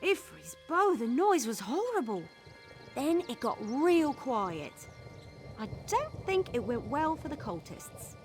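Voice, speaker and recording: A spirited young female scout from a fantasy game, speaks in a high, very lively voice. She is chatty and mischievous, yet protective of her comrades. Music plays underneath. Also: slow, full character voice. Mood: hesitant, gossipy. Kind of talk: video game character acting. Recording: game dialogue over background music.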